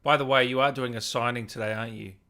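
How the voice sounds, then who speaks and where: A man's voice: medium pitch, fairly even intonation, fast, bright, forceful tone. A man hosting an interview in a casual, informal way, chatting and reading out viewer questions. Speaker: interview host.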